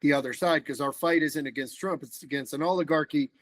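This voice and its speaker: Animated man from the Dominican Republic. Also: on the high side, brisk, no echo, studio quality. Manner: animated, rambling, frequent fillers and restarts. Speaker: political organizer guest. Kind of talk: casual podcast conversation.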